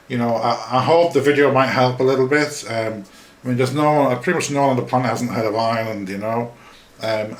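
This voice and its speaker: Man, Yorkshire accent with a hint of Scouse, middle-aged, podcast host, rambling, self-deprecating, roomy echo. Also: medium pitch. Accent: Yorkshire English with a slight Liverpool tinge. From Yorkshire, England. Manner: chatty, self-deprecating, many fillers and restarts. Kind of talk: casual rambling talk over a screen-shared map. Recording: echoey room.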